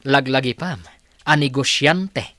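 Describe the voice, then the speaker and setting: A man's voice: medium pitch, slow pace, sharp tone, no echo. A man acting as a demanding, condescending businessman boss in a radio drama. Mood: stern, instructing. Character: demanding businessman boss giving curt instructions.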